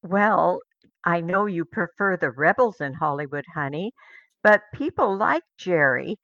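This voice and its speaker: Slow-paced woman in dry studio. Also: lowish voice, lively intonation, gravelly, a bit bright, no room sound, highs cut off. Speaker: radio co-host. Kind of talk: casual studio banter.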